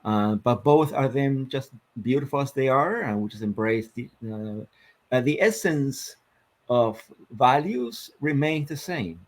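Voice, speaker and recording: Man, 50s, Argentine accent, interview guest, reflective, measured, filler-heavy. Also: mid-range voice. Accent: Argentine Spanish. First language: Spanish. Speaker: guest, sports psychologist. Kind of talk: interview storytelling.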